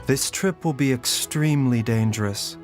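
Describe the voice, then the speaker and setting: An actor playing a calm, courteous doctor who speaks in a measured, formal, precise way, as in a video game. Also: male voice, mid-range voice, natural voice. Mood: measured, grave. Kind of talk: scripted video game voice acting. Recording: music behind the voice. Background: background music.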